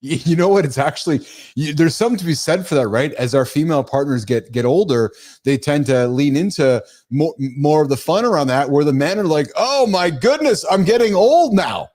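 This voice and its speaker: A middle-aged man hosting a live morning talk show, speaking casually and with animation, often addressing his listeners as guys. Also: a little fast. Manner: animated, calls listeners guys, jumps in pitch.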